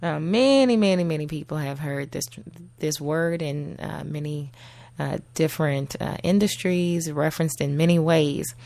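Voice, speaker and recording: A woman hosting a casual solo talk show, her voice husky from a cold, chatty and laughing often. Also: sits low, normal speed, a little mellow, no room sound. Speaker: podcast host.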